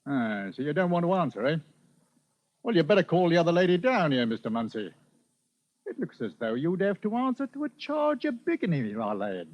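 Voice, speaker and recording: Dry inspector, dull old recording. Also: male voice, on the high side, big pitch swings, fairly quick. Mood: wry, stern. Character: dry, knowing Scotland Yard police inspector. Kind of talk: 1940s radio acting.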